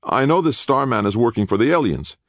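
A man's voice: mid-range voice, lively intonation, fairly quick, fairly bright tone, dry recording, clean studio sound, voice pushed up. A man voicing an anxious, indignant male defendant who protests and pleads, in an audiobook. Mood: agitated. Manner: agitated, protesting.

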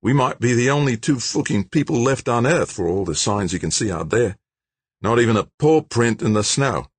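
A man voicing a big, shaggy young Australian man with an Australian accent, blunt and cheerful in a gruff, salty way. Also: medium pitch, animated, moderate pace, no echo, voice pushed up. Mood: blunt, cheery.

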